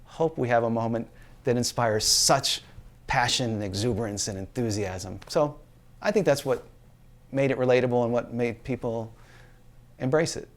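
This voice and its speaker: A man's voice: mid-range voice, moderate pace. A man reflecting on a game as an interviewee in a sports documentary.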